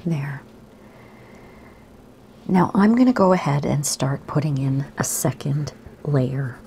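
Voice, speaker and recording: A woman, slightly hoarse today, gently talking kids through a drawing lesson in a soft, half-whispered voice. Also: low-pitched, expressive, slow pace, a little hiss or hum. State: raspy, slightly sore throat. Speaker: art teacher for kids. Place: home room by a window.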